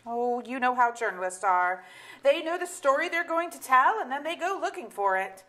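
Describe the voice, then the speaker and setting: A woman's voice: high voice, sing-song, normal speed, bright, forceful tone, full character voice. A woman playing a wry, sardonic middle-aged wife and mother in a staged play reading, with dry, hesitant asides. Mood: dry, evasive.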